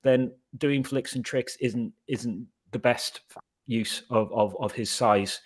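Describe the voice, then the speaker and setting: A man's voice: mid-range voice, slow pace, husky. Man giving football opinions. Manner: playful, earnest opinions with stammered restarts. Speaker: podcast panelist. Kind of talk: casual podcast chat.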